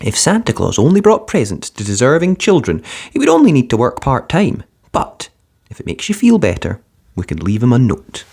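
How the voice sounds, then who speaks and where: A man voicing a patient penguin father in a children's storybook, speaking firmly and calmly with gentle teasing. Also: mid-range voice, moderate pace, vocal fry, husky, pitched above the performer's own voice, heavily acted. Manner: firm, calm, gently teasing.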